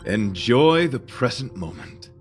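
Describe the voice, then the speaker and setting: Grandiloquent knight, expressive delivery. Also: male voice, medium pitch, slow pace, faint music behind. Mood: solemn, courteous. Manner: formal, grandiloquent. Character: noble, earnest, courteous knight devoted to beauty. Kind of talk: video game voice acting.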